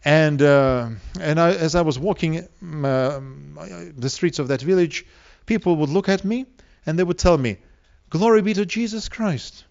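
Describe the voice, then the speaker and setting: A man from Siberia with a Russian accent preaches to an audience, speaking deliberately with rhetorical questions and emphatic pauses. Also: fairly high pitch, creaky, darkish tone, lo-fi sound. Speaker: preacher, evangelistic seminar speaker. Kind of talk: sermon to a live audience.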